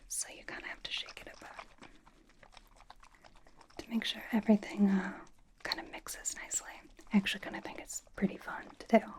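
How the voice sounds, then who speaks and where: A woman whispering as a blunt but doting young female pop idol in a gentle, casual ASMR skincare roleplay. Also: mid-range voice, flat delivery, close to an everyday voice. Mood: playful, doting. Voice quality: whispered, breathy. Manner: slow, gentle, casual, reassuring. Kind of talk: ASMR personal attention roleplay.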